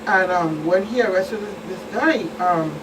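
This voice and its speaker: A woman recounting cases conversationally as a guest expert, in a halting, deliberate way. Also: medium pitch. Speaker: police commander, guest expert. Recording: distant mic, clear background noise.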